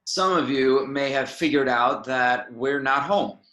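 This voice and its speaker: A man's voice: mid-range voice, measured pace, bright, forceful tone, echoey room. A man reading the part of a spaceship captain briefing his crew in a script table read. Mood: matter-of-fact.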